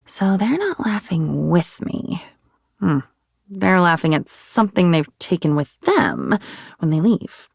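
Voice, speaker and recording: A woman's voice: mid-range voice, creaky, dry recording, studio quality, exaggerated voice. An actress voicing a living diary in a video game, a wounded, sardonic woman with a theatrical, brooding manner. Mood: self-pitying, wry. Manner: theatrical, sardonic, brooding, swinging between muttering and outbursts. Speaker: video game character voice actor. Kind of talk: dramatic video game voice acting.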